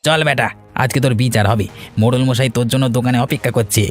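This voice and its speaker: A man's voice: medium pitch, fairly even intonation, quick pace, faint music behind, natural voice. A man voicing a blunt, taunting henchman of a village headman in a cartoon. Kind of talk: cartoon dialogue.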